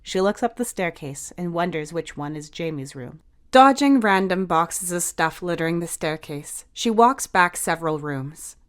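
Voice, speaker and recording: Narrator: woman, slightly low voice, reading scripted fiction. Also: animated, moderate pace. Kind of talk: scripted audio story narration.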